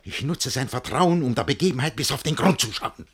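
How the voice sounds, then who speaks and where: An actor playing a jealous, pompous, panicky middle-aged master in a theatrical verse comedy for radio, raspy and breathless, swinging between muttering and bellowing. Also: male voice, mid-range voice, expressive, a little fast, a bit bright, slight background noise, close to an everyday voice. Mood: indignant.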